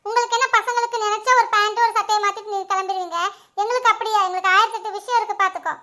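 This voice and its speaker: A woman's voice: very high-pitched, steady tone, rapid-fire, pushed and bright. A woman playing a vain, playful young daughter who chatters and giggles about her makeup. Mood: chatty. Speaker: daughter in a family skit.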